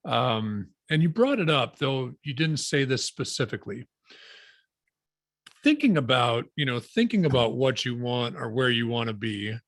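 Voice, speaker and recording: A man with a hoarse voice hosting a webinar interview, speaking haltingly with many fillers and restarts. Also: medium pitch, a bit bright, no echo. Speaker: webinar host. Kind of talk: interview conversation.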